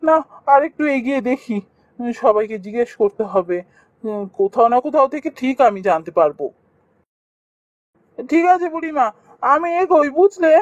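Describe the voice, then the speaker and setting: Young fairy-tale prince: high-pitched, courteous, earnest, for animation. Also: male voice, sing-song, moderate pace, long pauses, no room sound, muffled recording. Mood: determined. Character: earnest, polite young prince posing as villager.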